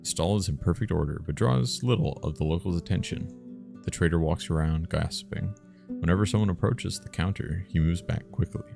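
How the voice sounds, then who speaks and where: Very deep, gravelly man reading aloud. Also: brisk, music in the background. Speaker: streamer reading a visual novel aloud. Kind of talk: casual live reading of game narration with banter.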